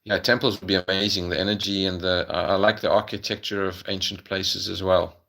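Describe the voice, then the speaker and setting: A man's voice: sits low, level tone, brisk, vocal fry, fairly bright tone, low-quality recording. A middle-aged man with a South African accent, answering viewers' questions on a casual livestream, hesitant, with many ums and restarts.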